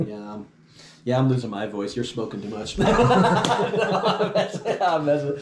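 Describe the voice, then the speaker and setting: A man's voice: mid-range voice, a little slow, a loud crowd. A man chatting casually about an album, laid-back and laughing easily, just getting over being sick. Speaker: album review co-host. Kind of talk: casual music discussion. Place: room with music playing. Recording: roomy echo with loud music. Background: song playing loudly.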